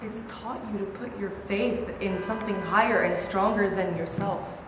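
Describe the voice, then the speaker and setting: A young actress in a staged play reading, playing a caring, churchgoing wife who pleads and nags. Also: female voice, mid-range voice, normal speed, natural voice. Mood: pleading. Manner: pleading, nagging. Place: large rehearsal studio. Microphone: far camera. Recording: roomy echo with room noise.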